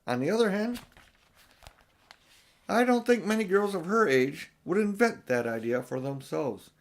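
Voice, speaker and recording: A man voicing a learned old professor in a storybook, deliberate, pedantic and emphatic. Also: high voice, lively intonation, pitched above the performer's own voice. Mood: reasoning, musing. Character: learned old male professor, musing and sharp. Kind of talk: storybook character reading.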